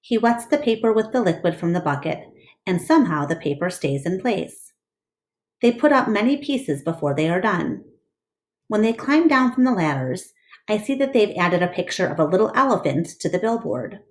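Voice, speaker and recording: A woman teacher reading a storybook aloud to her class with expressive narration. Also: mid-range voice, normal speed.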